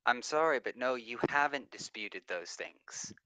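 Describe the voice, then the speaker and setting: A man's voice: medium pitch, animated, unhurried, bright, forceful tone, clean tone, no room sound, low-quality recording. A man in a heated online debate over voice chat, talking over his opponent and restarting his sentences as he argues. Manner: talks over the other side, repeats and restarts under pressure.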